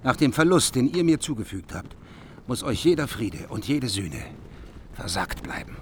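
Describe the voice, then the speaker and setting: A man's voice: mid-range voice, very animated, moderate pace, slight background noise, close to an everyday voice. An actor playing a grieving, unforgiving king who speaks sternly in a radio play. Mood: grim. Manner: stern, grim, pressing.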